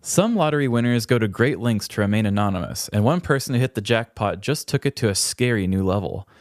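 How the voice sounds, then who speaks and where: A man's voice: fairly low pitch, expressive, fast talker, no echo, clean studio sound. A man co-hosting a podcast in a casual, chatty style. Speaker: podcast co-host. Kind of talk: casual chat with trivia.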